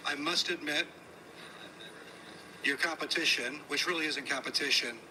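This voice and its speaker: A man interviewing a guest before a room audience in a casual, flattering, chatty way. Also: on the high side, normal speed. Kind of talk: onstage conversational interview. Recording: noisy room ambience.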